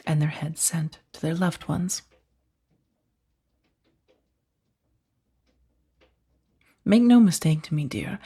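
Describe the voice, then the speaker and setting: A woman playing a powerful, coolly amused mafia boss in a soft-spoken ASMR roleplay, unhurried and condescending, with a breathy, husky voice. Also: low voice, heavily acted. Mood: menacing. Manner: unhurried, velvety menace with condescending endearments.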